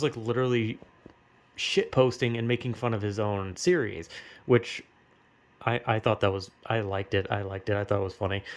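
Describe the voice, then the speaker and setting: A man's voice: medium pitch, a little mellow. A young man with an American accent giving casual, rambling opinion commentary in slangy, profanity-laden speech. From United States.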